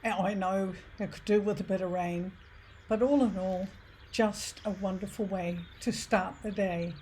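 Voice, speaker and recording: A woman's voice: mid-range voice, steady tone, a little mellow. A woman chatting casually and admiringly to a handheld camera as she walks, slightly out of breath. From New Zealand. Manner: admiring, unhurried, chatting while walking. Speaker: travel vlogger. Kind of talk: casual walking vlog chat. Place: outdoors on a hilly rural road. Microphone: handheld camera while walking. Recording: outdoor handheld recording with ambient noise.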